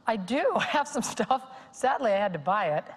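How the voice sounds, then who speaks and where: A woman in an interview, describing a treasured keepsake in a relaxed, fond way. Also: fairly high pitch, moderate pace, slightly rough. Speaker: interview guest. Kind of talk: casual interview chat. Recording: long reverb.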